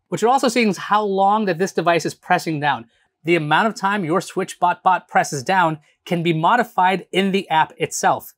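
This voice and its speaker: A man in his thirties hosting a casual tech review to camera, chatty and explanatory, often asking rhetorical questions. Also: sits high. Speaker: tech show host. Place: small furnished room at home, indoors.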